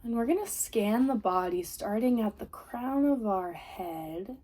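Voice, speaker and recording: A woman leading a guided meditation as a teacher, giving gentle, soothing, unhurried instructions. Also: a little high, expressive, poor audio. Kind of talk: guided body scan meditation.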